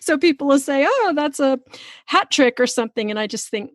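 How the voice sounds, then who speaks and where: A woman hosting a book podcast, talking in an expressive, emphatic way with drawn-out words. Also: high-pitched, no echo, clean studio sound. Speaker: podcast host and interviewer. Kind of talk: podcast intro and book chat.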